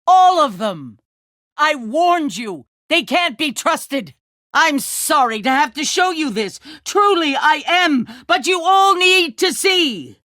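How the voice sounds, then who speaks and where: A woman playing a calculating, ruthless leader of a survivor town in a video game, speaking in a measured, condescending way with controlled menace. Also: high voice, sing-song, sharp tone, no echo, studio quality, exaggerated voice. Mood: urgent, accusing. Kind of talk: dramatic video game acting.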